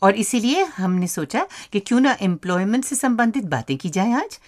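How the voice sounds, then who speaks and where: Female radio co-host, mid pitch, scripted link delivery. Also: big pitch swings, normal speed.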